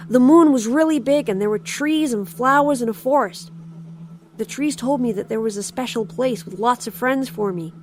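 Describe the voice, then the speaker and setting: A woman's voice: on the high side, darkish tone, a little hiss or hum, close to an everyday voice. A woman voicing a dazed, innocent captive child, hesitant and puzzled, in an audio drama. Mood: puzzled, wistful. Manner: hesitant, halting.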